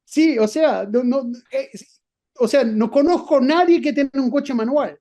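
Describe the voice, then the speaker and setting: Middle aged guy w argentine spanish accent, warm mellow tone, lots of restarts and fillers, explaining stuff in an interview. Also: very high-pitched, unhurried. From not from Argentina. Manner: thinking aloud, many restarts and fillers. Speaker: guest professor. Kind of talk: conversational interview answers.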